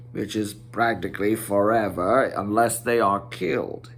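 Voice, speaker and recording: A man's voice: medium pitch, fairly bright tone. A man voicing a proud, long-winded dwarf leader recounting family history in a storybook. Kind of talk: storybook character voice.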